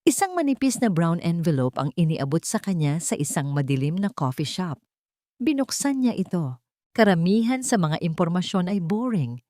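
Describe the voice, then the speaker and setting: A woman's voice: on the low side, sing-song, normal speed, mellow, no room sound, studio quality. A woman reading a scripted romance story as its narrator, in a dramatic storytelling style.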